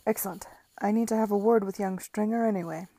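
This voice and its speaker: A woman voicing an excitable, scattered gentleman builder who talks loudly and eagerly. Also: medium pitch, dry recording, lightly acted. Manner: loud, eager, rushed.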